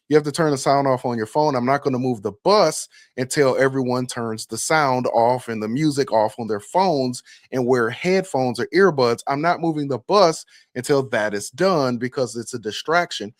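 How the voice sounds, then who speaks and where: A man's voice: medium pitch, normal speed, few pauses, no room sound. Emphatic man giving commentary, dry close sound. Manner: emphatic, opinionated. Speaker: bus operator and channel host. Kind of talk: commentary reacting to a clip and viewer comments.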